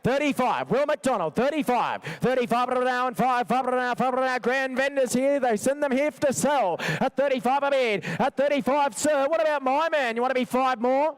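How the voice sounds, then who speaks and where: A man with a very high, ringing, clean voice works as an auctioneer. He rattles through bids in a rhythmic chant, repeating figures insistently to keep the sale moving. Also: brisk, hardly any pauses, clean tone. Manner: repetitive, insistent bid-calling. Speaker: livestock auctioneer. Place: sales arena.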